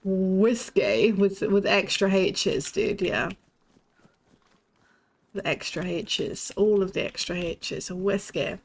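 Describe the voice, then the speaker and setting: A woman's voice: medium pitch, takes it slowly. A young woman with a lisp, chatting bluntly and swearing while streaming a game. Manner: blunt and sweary, laughs often. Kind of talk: casual chat while playing a shooter game.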